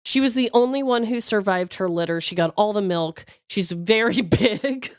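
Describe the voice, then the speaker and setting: A woman co-hosting a podcast, telling personal stories in an expressive, giggly, casual way with some swearing. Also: mid-range voice, moderate pace, dry recording, studio quality. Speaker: podcast co-host. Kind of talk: casual chat, animated personal storytelling.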